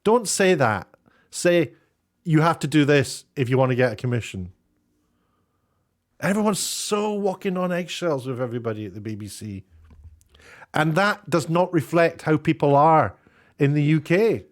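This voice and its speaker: A man's voice: on the high side, animated, moderate pace, long pauses. British male commentator, casual and opinionated, mocking delivery with an incredulous, exasperated edge. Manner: sarcastic, mocking. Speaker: YouTube commentator.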